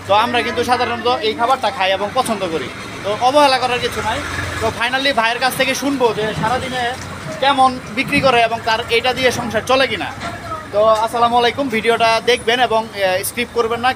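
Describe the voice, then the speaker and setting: A man with a Bangladeshi accent doing an informal street interview and talking to viewers in a repetitive, urging way. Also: sits high. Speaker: vlogger and interviewer. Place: outdoors on a street. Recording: noisy outdoor street with traffic.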